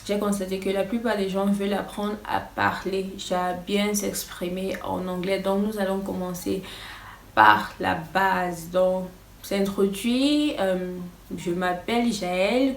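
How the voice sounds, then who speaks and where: Une femme anglophone, voix lointaine dans une pièce résonnante. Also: female voice, mid-range voice, reverberant, far from the mic, slight background noise. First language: English. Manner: hesitant, many filler pauses, nervous. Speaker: English teacher and YouTuber. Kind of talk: casual vlog-style lesson.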